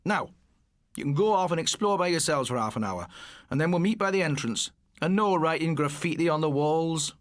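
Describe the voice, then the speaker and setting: A man's voice: fairly high pitch, expressive, a little fast, no room sound, studio quality, natural voice. A man voicing a strict, sarcastic male schoolteacher who lectures drily in an audiobook. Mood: stern, instructive. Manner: sardonic, instructive. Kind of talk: audiobook character voice.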